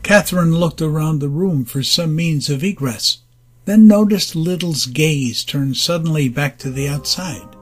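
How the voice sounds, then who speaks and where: A man's voice: on the high side, measured pace, slightly rough, faint music behind. A man narrating a dramatized audiobook story.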